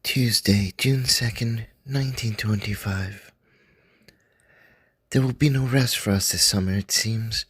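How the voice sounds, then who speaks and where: A man playing a 1920s scholar who reads his expedition journal aloud in a measured, dryly wry way. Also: fairly low pitch, fairly bright tone, breathy edge, dry recording, faint music behind. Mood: thoughtful. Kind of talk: first-person journal reading, radio drama.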